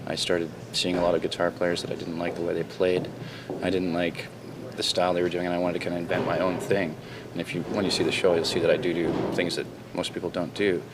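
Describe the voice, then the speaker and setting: A Canadian man, a guitarist who founded his band, answering interview questions in a confident, rambling way and clearing his throat now and then. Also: low-pitched, flat delivery, fast, hardly any pauses. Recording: raw camcorder audio with noticeable noise.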